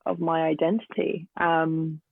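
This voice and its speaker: Guest actor, English woman, late 30s, hoarse and gravelly, hesitant; dry, tinny remote call audio. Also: low voice, level tone, measured pace, no echo. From England. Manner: hesitant, many ums and restarts. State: hoarse from illness. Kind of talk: casual interview chat. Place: garden shed at home. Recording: tinny phone-like remote line.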